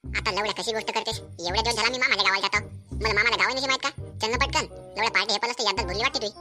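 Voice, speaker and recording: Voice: medium pitch, racing, sharp tone. A performer voicing a crude, frustrated village bachelor in a comic cartoon, grumbling and swearing in rural Vidarbha Marathi slang. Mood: bossy. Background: music.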